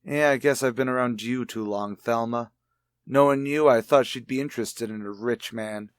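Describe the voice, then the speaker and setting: A man's voice: mid-range voice, moderate pace, clear, resonant tone, no echo, clean studio sound, lightly acted. An actor playing a rich, philandering husband who callously taunts his wife in an old radio mystery drama. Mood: careless taunting.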